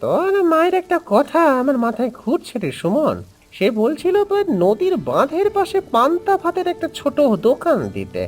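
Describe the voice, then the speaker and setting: A woman voicing a worried, weary village father in an animated cartoon story, with an expressive, fretful delivery. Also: high voice, normal speed. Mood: resolved.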